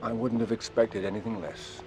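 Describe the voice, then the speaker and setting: Deep-voiced, cool British secret agent played by a man. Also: steady tone, normal speed, over music, close to an everyday voice, rough recording. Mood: dry, understated. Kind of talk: video game cutscene acting.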